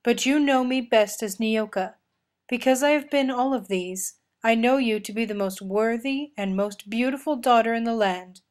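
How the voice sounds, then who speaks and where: A kind, regal snake king from a storybook, read by a woman. The voice is fairly high, with a calm and formal delivery. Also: normal speed, natural voice. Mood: kindly solemn.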